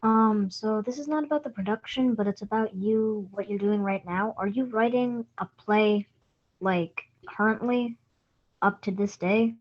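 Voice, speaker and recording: A woman's voice: medium pitch, measured pace, dull audio. A woman in an audience, casually asking a question.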